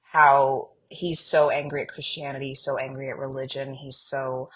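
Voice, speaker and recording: A woman's voice: extremely low pitch, little pitch movement, moderate pace, crowd noise. A woman co-hosting a podcast, casually discussing a fiction series and acting out bits of dialogue as she talks. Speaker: podcast co-host.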